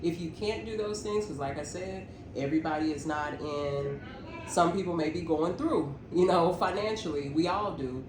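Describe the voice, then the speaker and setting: A woman's voice: sits low, moderate pace, roomy sound, background noise. A woman, co-hosting a podcast and earnestly reasoning through her point. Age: 30s. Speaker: podcast co-host. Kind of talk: casual podcast chat.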